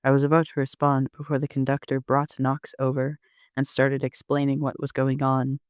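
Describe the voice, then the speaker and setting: A man's voice: medium pitch, monotone, moderate pace, clear, resonant tone, dry recording, clean studio sound. A man reading scripted first-person story narration as an amateur author.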